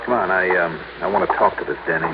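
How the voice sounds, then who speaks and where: An actor playing a brisk, hardboiled insurance investigator in a 1950s radio detective drama, with a clipped, guarded manner. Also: male voice, sits low, close to an everyday voice. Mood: calm, guarded. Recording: muffled, noisy old-time radio recording.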